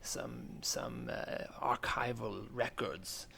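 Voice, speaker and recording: A man voicing a hesitant, stammering scholar of the future, speaking formally and in hushed tones in an improvised comedy sketch. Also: mid-range voice, fairly even intonation, slow pace, heavily acted. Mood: furtive, fascinated. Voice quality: hushed, creaky. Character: stammering male scholar of the future whispering furtively in library.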